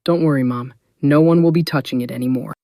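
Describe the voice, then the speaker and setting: A man's voice: medium pitch, fast talker, dark tone, no room sound, studio quality, natural voice. A man playing an earnest teenage boy, a dutiful son, in a scripted audio drama. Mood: reassuring.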